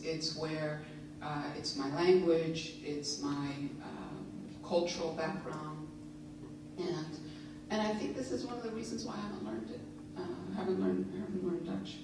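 A woman's voice: deep voice, background noise. A woman in her thirties giving a reflective, conversational lecture at a podium, with frequent fillers. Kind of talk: public lecture and reading. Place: lecture hall, standing at a podium. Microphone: podium mic on a stand. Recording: echoey hall, recorded from a distance.

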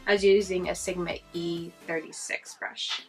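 A woman's voice: fairly low pitch, little pitch movement, moderate pace, faint music behind, rough recording. A young woman with a stuffy, congested voice, chatting casually and rambling with fillers as she does a makeup tutorial at home, close to the camera. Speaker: beauty vlogger. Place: small room at home, indoors.

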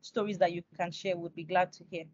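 A woman's voice: on the low side, fairly even intonation, brisk, a little mellow, dry recording. A young woman hosting an interview in a conversational style over a video call. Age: young adult. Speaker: interview host. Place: indoors, close to camera on video call. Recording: dry, slightly dull video-call audio.

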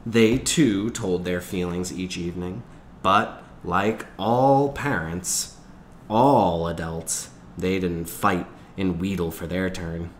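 A man reading a novel aloud as a teacher, in expressive storytelling narration. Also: low voice, slow, a little hiss or hum. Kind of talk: expressive audiobook-style narration.

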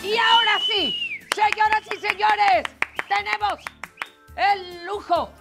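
Theatrical high-voiced woman host. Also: fairly even intonation, slow pace, creaky, music in the background. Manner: theatrical, exclaiming. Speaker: talk show host. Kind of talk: lively studio chat. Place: TV studio set.

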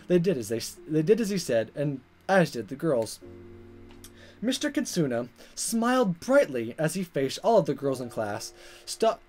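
A man reading visual novel text aloud as a let's player, in expressive narration. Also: fairly high pitch. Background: game music.

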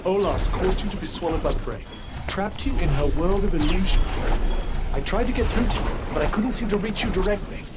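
A man's voice: high-pitched, brisk, very noisy, lightly acted. An actor playing an articulate magical companion in a video game, formal and instructive as he cautions the heroine. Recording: loud game effects behind voice.

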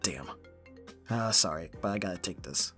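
A man's voice: sits low, animated, normal speed, pushed and bright, faint music behind. A man playing a caring but easily exasperated young roommate in an ASMR roleplay audio drama, casual and dryly bantering. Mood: deadpan, bantering.

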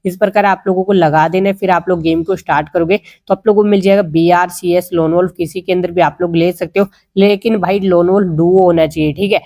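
A man's voice: high voice, fairly even intonation, a little fast, hardly any pauses, warm tone. A man with an Indian accent giving a casual, instructional gaming tutorial voice-over, talking to viewers like friends. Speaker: gaming video creator.

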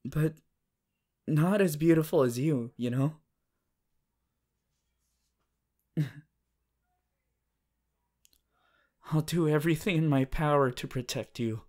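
A man playing a shy, devoted, easily flustered teenage boy hero in an intimate, halting romantic roleplay. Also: on the high side, a little mellow, close to an everyday voice. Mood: tender, loving. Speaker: voice actor. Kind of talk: romantic roleplay audio, intimate fan performance.

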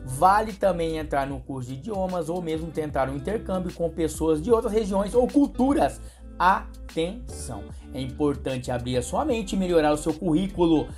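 A man in his thirties with a Brazilian Portuguese accent, reading a daily horoscope and pitching readings in a chummy, coaxing way that leans on pet names for the listener. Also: lively intonation, moderate pace, a little mellow. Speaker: horoscope channel host. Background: light background music.

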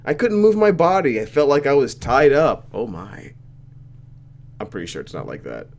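A man's voice: medium pitch, very animated, normal speed, darkish tone. A young man doing a let's play, reading a visual novel aloud with playful, reactive commentary.

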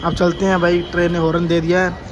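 A man's voice: sits high, completely flat delivery, fast. A young man casually narrating his train journey as a travel vlogger, chatty and full of updates. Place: on a train and station platforms. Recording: crowded train station noise.

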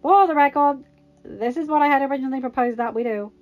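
A blunt, sardonic male superhero from a fan story, read by a woman. The voice sits fairly high and leans hard on its words. The sound is a bit dull, with music underneath. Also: fast, natural voice. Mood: sarcastic. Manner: sardonic, emphatic. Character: sarcastic, blunt, hot-headed male superhero.

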